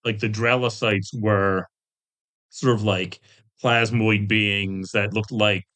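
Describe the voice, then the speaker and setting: A man's voice: on the low side, fairly even intonation, slow, a bit bright. A middle-aged or older man speaking as a podcast guest, chatting casually and discursively about his hobby, with asides and hedged recollections. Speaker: podcast guest, veteran game designer. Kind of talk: casual interview conversation about tabletop gaming.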